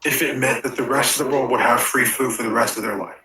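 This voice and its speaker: A man voicing a resentful, sardonic animatronic in an animated web show, talking in a halting, conversational way. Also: mid-range voice, close to an everyday voice, rough recording. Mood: incredulous.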